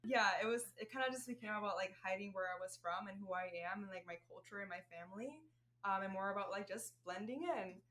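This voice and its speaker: Lively Puerto Rican woman, bright voice. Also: mid-range voice, quick pace. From Puerto Rico. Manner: animated, laughs often, many fillers. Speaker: guest counselor. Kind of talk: casual chat.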